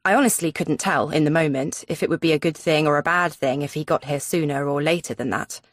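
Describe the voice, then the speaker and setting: A woman's voice: low-pitched, fairly quick, dry recording, clean studio sound. A woman narrating a suspense audiobook in the first person.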